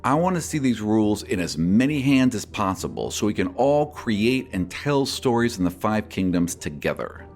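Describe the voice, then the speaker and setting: Man hosting a podcast, lively rising and falling delivery. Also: lowish voice. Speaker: podcast host and game master. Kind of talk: scripted podcast announcement and narration.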